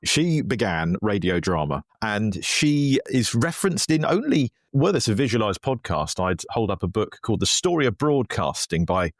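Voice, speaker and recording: A man's voice: on the low side, big pitch swings, moderate pace, hardly any pauses, dry recording, clean studio sound, faint music behind. A man talking conversationally as an interview guest, telling history in a chatty, digressive, self-deprecating way. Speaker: writer and radio historian, podcast guest.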